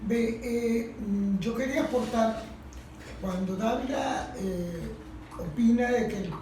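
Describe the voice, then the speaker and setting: A Venezuelan woman making an impromptu, emphatic contribution to a group discussion in Spanish, halting and repeating for insistence. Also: mid-range voice, mellow. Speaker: industrial worker. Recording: echoey room with faint background noise.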